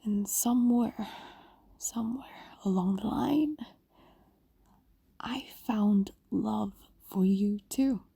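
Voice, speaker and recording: A woman voicing an ancient, lovestruck female fox demon in an intimate audio roleplay, whispering softly and coaxingly with lingering pauses. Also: medium pitch, dry recording, heavily acted. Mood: soothing, adoring. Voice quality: soft, breathy whisper. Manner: intimate, slow, pausing, coaxing. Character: possessive, lovestruck female fox demon, centuries old, tender. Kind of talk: ASMR-like fantasy audio roleplay.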